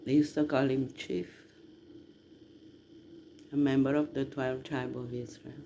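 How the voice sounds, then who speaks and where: A man from southern Italy, rambling casually to listeners in a halting, emphatic way. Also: medium pitch, flat delivery, creaky, warm tone. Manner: halting, drawn-out delivery with emphatic questions. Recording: noticeable background noise.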